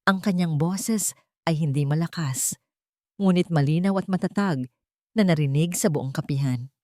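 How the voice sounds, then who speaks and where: Low-voiced Filipino woman narrating, dry sound. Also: normal speed, a little mellow, no echo. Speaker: story narrator. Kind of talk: scripted dramatic story narration.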